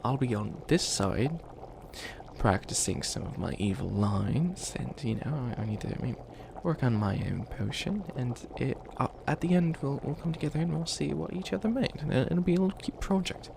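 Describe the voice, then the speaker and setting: A man's voice: mid-range voice, animated, moderate pace, vocal fry, background noise. A man voicing an ancient bunny witch, a failed villain who is flustered and kindly, rambling and stammering through a comedic ASMR roleplay. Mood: helpful, encouraging. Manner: rambling, stammering, apologetic, trails off. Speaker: mentor to a new apprentice.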